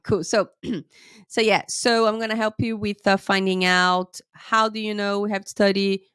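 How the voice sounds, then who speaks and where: A woman teacher talks through a live-streamed lesson from close to the mic, in a dry room with little echo. She has a slight cold and a sore throat, so she talks a little slowly. She keeps checking in with her viewers. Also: medium pitch, a bit bright. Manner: chatty, lots of "okay?" checks with viewers. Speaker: English teacher and IELTS tutor.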